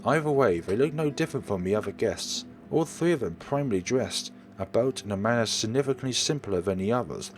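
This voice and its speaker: A man reading a horror story game aloud as a let's play host, in an expressive, theatrical storytelling manner. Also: medium pitch, brisk, few pauses, slight background noise. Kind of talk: dramatic scripted narration with asides.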